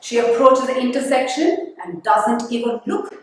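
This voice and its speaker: A woman's voice: fairly high pitch, moderate pace, lightly acted. A young woman acting a bitter, tormented pedestrian in a dramatic theatrical monologue, intense and scornful. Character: bitter, judgmental, tormented pedestrian recounting a street incident. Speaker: student actor. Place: studio, live-streamed stage performance. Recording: very echoey studio livestream, distant mic.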